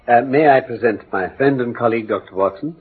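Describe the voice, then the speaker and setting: An actor playing a brilliant, commanding English detective in classic radio drama, speaking with precise, formal, emphatic diction. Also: male voice, mid-range voice, fairly quick, close to an everyday voice. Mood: courteous, guarded. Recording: muffled old radio broadcast.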